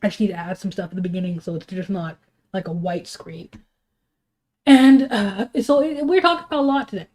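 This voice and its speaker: A woman's voice: medium pitch, brisk, a little mellow. Sniffling woman ranting on stream. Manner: heated, rambling, many restarts and fillers. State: sick and sniffling. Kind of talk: casual livestream rant.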